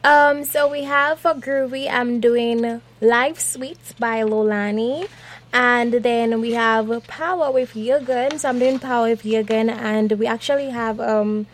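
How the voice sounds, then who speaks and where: A young woman singer, speaking softly and cheerfully as a guest in a radio interview. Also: on the high side, fairly even intonation, measured pace. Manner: soft-spoken, cheerful, laughs often. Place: radio studio.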